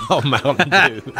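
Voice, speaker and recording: A man's voice: on the high side, gravelly, sharp tone. A man hosting a casual live sports radio show from a studio, sounding scratchy and nasally with a lingering cold. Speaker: sports talk radio host.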